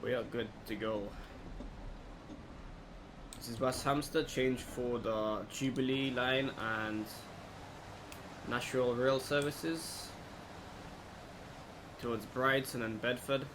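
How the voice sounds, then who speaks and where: Steady noise behind the voice, man, mid-pitched, casual let's play commentator, unscripted. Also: slow pace, long pauses. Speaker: gaming video host. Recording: clear steady background noise, air-conditioning-like hum.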